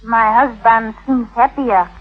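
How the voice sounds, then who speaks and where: An actress playing a cunning, coy young Victorian wife with formal, deliberate speech in a vintage radio drama. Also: female voice, a little high, fairly even intonation, faint music behind. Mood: cool, formal. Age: young adult. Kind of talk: 1950s radio drama acting. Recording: muffled vintage radio broadcast.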